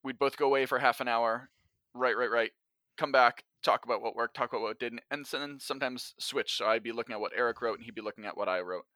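A man answers casually as a podcast guest. His voice is bright and crisp-edged at an average male pitch, recorded close and dry. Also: fast talker, no room sound. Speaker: podcast guest, audio drama writer. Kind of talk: casual conversational interview answers.

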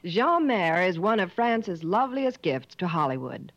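A woman's voice: lowish voice, sing-song, a little slow, dry recording. Female Hollywood-style reporter, 1950s radio. Gushing scripted patter, very melodic, dry vintage sound with dull tone. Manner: polished, gushing. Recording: muffled 1950s radio broadcast.

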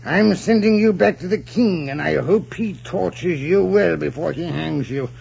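An actor playing an imperious, scheming colonial governor, pompous and stammering, in 1940s radio drama style. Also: male voice, on the high side, moderate pace, vocal fry, darkish tone, slightly rough, full character voice. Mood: vindictive. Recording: muffled 1940s radio recording.

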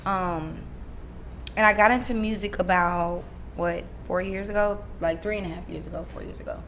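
A raspy-voiced young woman chatting casually as a podcast guest, full of "like" fillers. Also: fairly low pitch, moderate pace, a little hiss or hum. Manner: relaxed, lots of "like" fillers. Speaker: guest artist.

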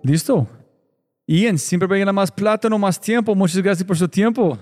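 Excitable podcast host guy, highish voice, hypes everything up. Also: moderate pace, faint music behind. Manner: enthusiastic, emphatic repetitions. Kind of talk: energetic podcast intro and interview.

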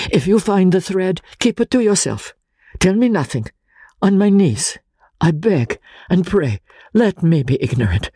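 Man acting out an agitated, husky little Italian man. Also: a little high, darkish tone, no room sound, studio quality, pitched above the performer's own voice, full character voice. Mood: agitated pleading. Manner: trembling earnestness, hesitant, solemn. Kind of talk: dramatic audiobook reading.

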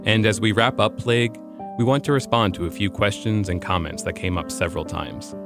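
Deep-voiced man narrating over music. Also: fairly even intonation, fairly quick. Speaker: podcast host. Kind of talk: scripted narration.

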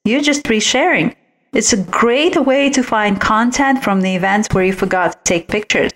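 A woman talks as a guest expert in an interview. Her voice is of average pitch for a woman. She explains her advice conversationally, as someone answering a host. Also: moderate pace. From originally from outside the United States. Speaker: guest, visual branding expert.

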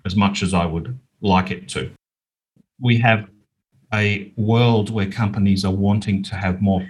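A man's voice: low voice, breathy edge, poor audio. An Australian man, middle-aged or older, speaking as an outspoken, emphatic guest in a conversational interview. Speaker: interview guest, business owner and author.

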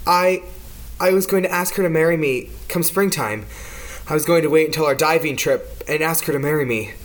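A man's voice: fairly high pitch, a little fast, lightly acted. A man playing an anxious, defensive kitchen worker suspected of murder in an amateur audio drama. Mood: distraught. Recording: noticeable background noise.

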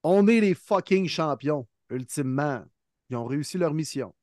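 A man with a Quebec French accent co-hosting a sports podcast, talking in an excited casual way and laughing often. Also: on the high side, moderate pace, dry recording, studio quality. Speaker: podcast co-host. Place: podcast studio.

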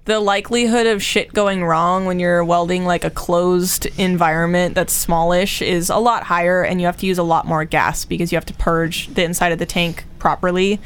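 A woman's voice: on the low side, steady tone, normal speed, few pauses, smooth. A woman in casual group chat, giggly and sweary, with slangy phrasing. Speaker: podcast co-host. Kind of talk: casual group chat about motorcycle projects.

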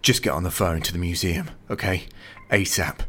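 An actor playing a brisk, focused male investigator in an audio drama, speaking in curt, commanding phrases. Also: sits low, a bit bright, husky. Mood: urgent, firm.

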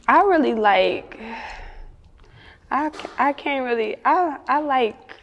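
A woman's voice: high-pitched, expressive, slow, in a large hall, muffled recording. A woman with a Chicago accent chatting casually as an interview guest, candid and slangy. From Chicago, United States. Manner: candid, slangy, often chuckling.

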